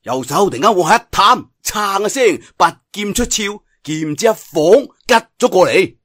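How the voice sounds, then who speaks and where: A man's voice: high-pitched, animated, a bit bright, dry recording, studio quality. A man narrating a martial arts tale in theatrical, colloquial Cantonese storytelling style. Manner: theatrical, colloquial telling with drawn-out sentence particles. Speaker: audiobook storyteller.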